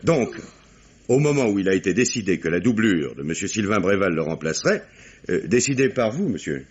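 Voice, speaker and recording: An actor playing a calm, probing police commissioner who questions witnesses with measured authority in an old radio play. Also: male voice, low-pitched, husky, heavily acted. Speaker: police inspector. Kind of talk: 1950s radio drama acting. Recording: dull old radio broadcast with faint hiss.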